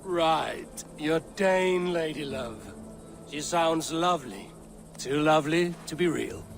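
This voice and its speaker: An actor playing a steady, poetic Viking warrior in a video game, speaking in a measured, dryly teasing and commanding way. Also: male voice, fairly high pitch, slight background noise. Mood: wry, proud. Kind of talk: dramatic video game acting. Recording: music behind the voice.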